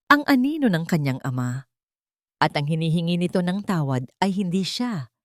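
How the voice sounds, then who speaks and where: A woman narrates a scripted audio drama in a soft, solemn storytelling manner. Her voice sits low. The recording is close-miked and completely dry, with no room sound. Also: no room sound.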